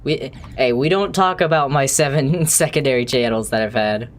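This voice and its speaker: A man's voice: medium pitch, moderate pace. A young man chatting casually on a live stream while playing, rambling, joking and swearing freely.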